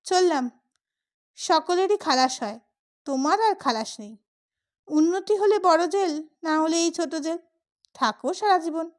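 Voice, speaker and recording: A woman's voice: extremely high pitch, a little slow, pauses often. A woman storyteller voicing a cranky, bossy elder brother who scolds and blusters, in an audio short story. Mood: mocking.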